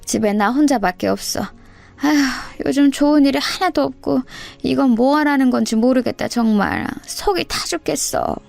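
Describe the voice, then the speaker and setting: A woman's voice: sits high, moderate pace, gravelly, a little mellow, voice pushed up. A woman voicing a brazen, self-pitying elderly mother-in-law who sighs and grumbles in an audio story, with music behind. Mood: whiny complaining. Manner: sighing, grumbling complaints.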